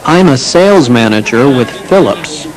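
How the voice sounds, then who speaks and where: An actor playing a polite sales manager in a scripted textbook dialogue, speaking clearly. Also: male voice, mid-range voice, animated, moderate pace, natural voice, low-quality recording.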